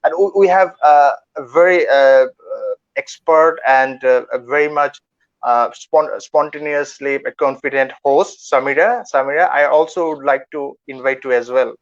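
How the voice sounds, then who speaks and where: A man opens an online webinar with a formal, halting introduction full of fillers. His voice sits at a middle pitch, and the call audio sounds dull and lo-fi. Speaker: webinar moderator.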